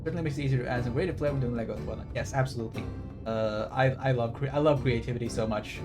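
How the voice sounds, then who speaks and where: A man's voice: medium pitch, racing, over music. A man livestreaming a game, reading text aloud and drifting into rambling, enthusiastic asides. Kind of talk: game stream commentary, reading on-screen text aloud and chatting.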